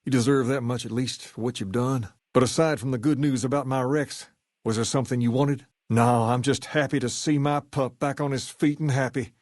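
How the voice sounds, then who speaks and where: Male actor as smooth video game gang leader, drawling swagger, folksy and cool, mid-range pitch, close dry sound. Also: fairly even intonation, moderate pace, a little mellow, dry recording, lightly acted. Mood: grateful, easygoing. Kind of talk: video game dialogue acting.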